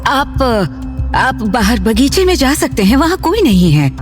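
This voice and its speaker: A woman's voice: high-pitched, very animated, unhurried, soft background music, voice pushed up. A woman voicing a nervous, fawning man of about fifty in an audio drama, speaking hesitantly and deferentially with stammers. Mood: timid.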